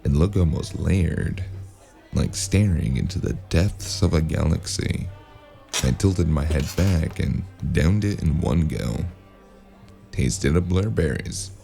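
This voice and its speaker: Male actor as hard-boiled noir detective, extremely low register, dark tone, dry and laconic, wary, audio drama. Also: lively intonation, takes it slowly, gravelly, faint music behind, full character voice. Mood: brooding, observant. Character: gruff, wary noir investigator narrating his own case.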